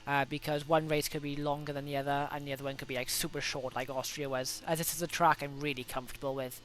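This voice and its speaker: A man's voice: a little high, flat delivery, fast, a bit bright, slight background noise. Welsh man talking through his racing laps. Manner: chatty, self-correcting, many fillers. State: a cold coming on, voice rough. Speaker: gaming video host. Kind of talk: casual gameplay commentary.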